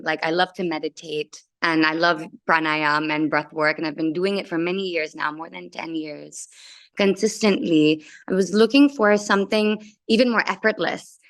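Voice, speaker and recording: A woman from Indonesia speaking conversationally as a coach, giving a personal testimonial. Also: on the low side. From Jakarta, Indonesia. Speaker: transformational soul coach.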